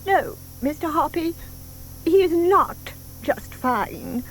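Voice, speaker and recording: A woman's voice: very high-pitched, expressive, takes it slowly, slight background noise, exaggerated voice, low-quality recording. An actress playing a doting, sentimental older woman who coos over her pet in an audio drama, with gushing, emphatic delivery. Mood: fretful.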